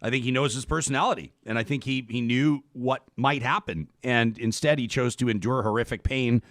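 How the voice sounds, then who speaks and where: A man hosting a conversational interview, speaking haltingly and often repeating words as he rephrases. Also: medium pitch, a bit bright, no room sound, studio quality. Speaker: talk show host.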